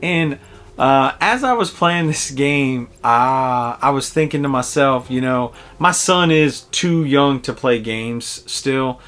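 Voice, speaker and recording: A man in his early forties giving a casual, conversational video game review in a halting, reflective way. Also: mid-range voice, a bit bright. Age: early 40s.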